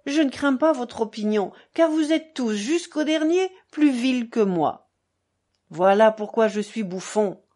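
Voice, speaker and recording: A woman's voice: fairly high pitch, lively intonation, measured pace, mellow. A woman voicing a theatrical, self-abasing old buffoon in a novel, exclaiming and declaiming with effusive mock piety. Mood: exalted, self-abasing.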